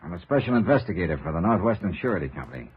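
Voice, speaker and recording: A man's voice: low voice, big pitch swings, rapid-fire, husky, heavily acted. An American man playing a tough, shrewd insurance investigator in a 1950s radio noir drama, clipped and sardonic. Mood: sardonic, pressing. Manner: clipped, sardonic, hard-boiled. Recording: muffled, noisy 1950s radio recording.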